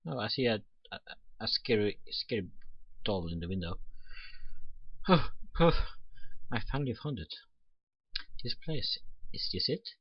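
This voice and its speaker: A man's voice: fairly low pitch, sing-song, a little slow, long pauses, breathy edge, highs cut off. A man playing a game on his channel, reading the story text aloud and adding casual asides. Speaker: let's player. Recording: roomy sound with faint music behind.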